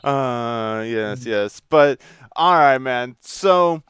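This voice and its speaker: Smooth-voiced male podcast host. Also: medium pitch, animated, drawn-out words, a bit bright, no room sound, studio quality. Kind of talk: casual podcast interview.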